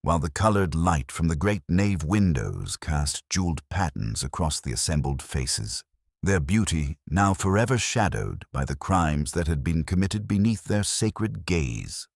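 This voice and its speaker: A man reading a scripted mystery story as an audiobook narrator, in a formal, measured, dramatic storytelling manner. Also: rumbling low, sing-song, gravelly, husky, no room sound, clean studio sound.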